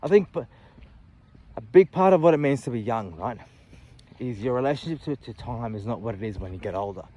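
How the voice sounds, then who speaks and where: A man in his late thirties talking candidly about his life in a reflective, halting way, often restarting and adding "you know". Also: medium pitch, expressive, warm tone, dry recording. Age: 30s. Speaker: vlogger. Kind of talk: personal reflective monologue.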